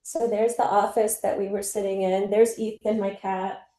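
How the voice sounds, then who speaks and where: A woman from New Jersey giving a casual home tour and interview, chatty and halting, laughing easily. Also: medium pitch, little pitch movement, warm tone. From New Jersey, USA. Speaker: interview guest and expat homeowner.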